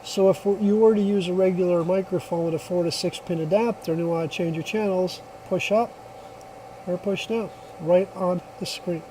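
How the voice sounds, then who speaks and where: A man's voice: high voice, fairly even intonation, moderate pace, mellow, a little hiss or hum, rough recording. A man giving a casual hands-on product demonstration, explaining step by step in a plainspoken way. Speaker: product reviewer.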